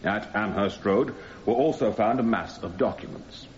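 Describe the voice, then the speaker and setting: An actor playing a grave, methodical British prosecuting barrister in a radio drama, speaking formally and deliberately. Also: male voice, lowish voice, natural voice. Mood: grave, assertive. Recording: roomy, slightly dull sound, faint noise.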